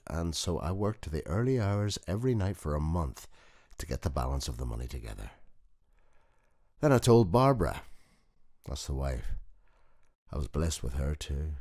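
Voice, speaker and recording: An old working-class mechanic played by a male reader tells his story in a very deep, low voice that rises and falls with lively expression. He is a gentle, proud widower, chatty and nostalgic, as in an intimate audiobook monologue. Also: heavily acted. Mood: fond, reminiscing. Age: older. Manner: conversational, wistful storytelling. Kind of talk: dramatic first-person audiobook monologue.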